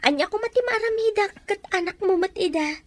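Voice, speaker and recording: A woman's voice: extremely high pitch, brisk. A woman playing an emotional, anxious wife in an audio drama, speaking in a nagging, confrontational way. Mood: everyday domestic. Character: jealous, anxious wife pleading over household money. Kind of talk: amateur audio drama dialogue.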